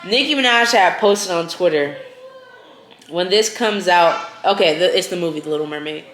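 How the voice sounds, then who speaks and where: A woman's voice: on the low side, moderate pace, fairly bright tone. A woman, the host's cousin, in casual conversation, arguing her point firmly. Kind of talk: casual chat. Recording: echoey room.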